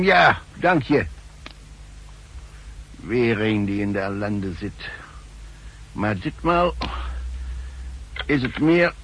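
An actor playing a wry, brusque older notary in a 1960s radio drama, with dry irony and a deliberate manner. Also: male voice, mid-range voice, background noise, heavily acted. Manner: brusque, dryly ironic, deliberate. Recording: fuzzy old radio recording.

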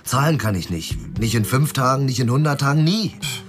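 An actor playing a weary, broke, hard-boiled private investigator in a German radio drama, talking in a dry, colloquial, sardonic way. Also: male voice, medium pitch, animated, a little fast, crowd noise, lightly acted. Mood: desperate, calculating.

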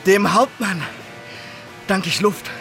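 A man's voice: sits high, slow pace, creaky, over music, full character voice. An actor, a man, playing an exhausted, giddy robber just escaped from the gallows in a radio play, out of breath. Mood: giddy relief.

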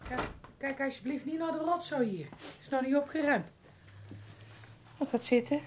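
A woman's voice: high voice, animated, moderate pace. An actress playing a weary, suspicious middle-aged mother hardened by trouble, curt and grumbling in a radio drama. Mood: weary, complaining. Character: weary, suspicious, hard-bitten mother of a criminal. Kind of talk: Dutch radio play acting. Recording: clearly noisy radio drama recording.

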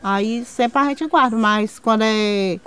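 A woman's voice: fairly high pitch, slow pace, a bit bright, clean tone. A woman farmer with a rural Northeastern Brazilian accent from Ceará, talking casually in a rambling, colloquial way. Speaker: farmer interviewee. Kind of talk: casual anecdotal talk.

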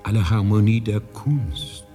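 Man acting an ancient, slow, deep-voiced lama. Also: dark tone, faint music behind, lightly acted. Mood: solemn, visionary. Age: older. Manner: solemn, deliberate, measured storytelling. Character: ancient, wise, fatherly high lama of a mountain monastery. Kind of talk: 1980s German radio drama acting. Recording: long reverberant hall.

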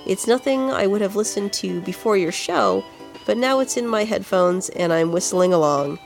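A woman's voice: medium pitch, animated, normal speed, creaky, faint music behind. A woman with a congested, nasal voice co-hosting a podcast in a casual, chatty style. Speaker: podcast co-host. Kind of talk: casual video game music podcast chat.

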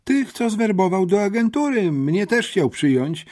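A man's voice: high voice, sing-song, measured pace, darkish tone, voice pushed up, exaggerated voice. A man voicing an obliging, tattling young schoolgirl in an audiobook, eager to explain. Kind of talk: audiobook character reading.

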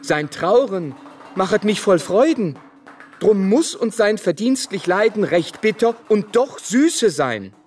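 An actor playing a grieving, penitent believer, reciting verse with solemn fervour in a German radio play. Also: male voice, sits high, sing-song, slow pace, a little mellow, full character voice. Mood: devout, fervent. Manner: solemn, declamatory, fervent. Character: grieving, penitent male believer lamenting the Passion.

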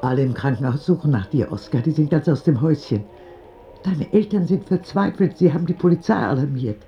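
A man playing a frank, tender old grandmother in a radio drama, speaking gently, earnestly and deliberately. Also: a little high, expressive, highs cut off, slight background noise, voice pushed up. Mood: urgent.

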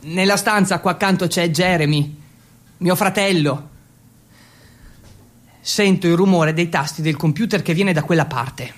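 A man's voice: high voice, unhurried, pauses often. Theatrical male actor in a dramatic monologue. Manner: theatrical, listing things with relish. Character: Italian teenage exchange student telling his story. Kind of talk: dramatic monologue in the second person.

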